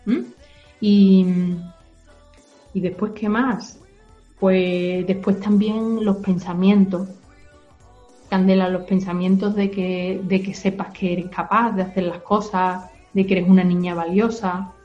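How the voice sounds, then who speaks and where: An adult woman gently explains ideas to a child, using simple comparisons and reassurance. Her voice has a middle pitch. The sound is slightly dull, with soft music faintly behind. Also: flat delivery, slow.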